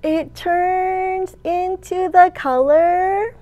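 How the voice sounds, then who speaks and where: A woman in her thirties, a children's librarian leading storytime with an animated, sing-song delivery for young children. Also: extremely high pitch, very slow, no echo, dull audio. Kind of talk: children's storytime read-aloud and teaching. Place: large open library room, indoors.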